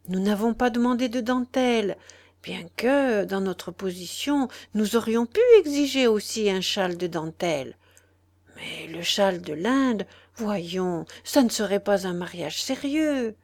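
A woman reading a novel aloud and voicing a worried mother who argues slowly, earnestly and politely. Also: medium pitch, lively intonation, a little mellow.

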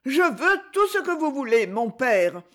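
A woman's voice: fairly high pitch, very animated, unhurried, voice pushed up. A woman reading aloud and voicing a scheming boy who gushes and pleads. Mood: pleading. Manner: pleading, gushing.

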